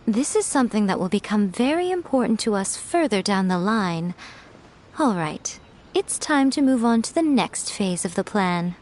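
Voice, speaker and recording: A woman voicing a sly, playful female schemer in a video game who teases in an unhurried way and chuckles often. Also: mid-range voice, animated, darkish tone, slight background noise, lightly acted.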